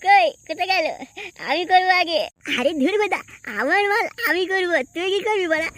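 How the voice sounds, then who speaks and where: A woman's voice: extremely high pitch, moderate pace, fairly bright tone, dry recording. A woman voicing a brash, boastful young village man in a comic cartoon, repetitive and quarrelsome. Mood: boastful, pushy.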